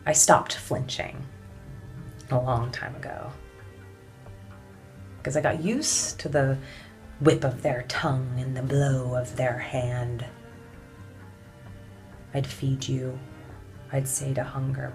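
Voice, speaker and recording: Woman acting a weary defiant suffragette, deep voice, real slow and intense, stage monologue w heavy pauses. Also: steady tone, darkish tone, soft background music, full character voice. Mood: haunted, bitter. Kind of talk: dramatic theatrical monologue.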